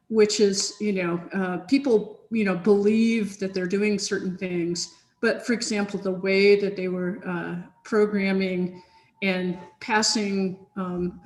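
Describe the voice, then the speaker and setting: A woman chatting casually over a video call from her home office, rambling with lots of fillers and restarts. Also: medium pitch. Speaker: software team researcher in a chain conversation. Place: home office with big sunny windows. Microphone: computer on a video call.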